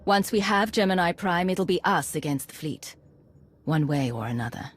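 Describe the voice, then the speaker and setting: Game commander lady, lowish voice, measured, decisive, bit wary. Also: lively intonation. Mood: resolute, wary. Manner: measured, authoritative. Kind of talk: video game cutscene acting.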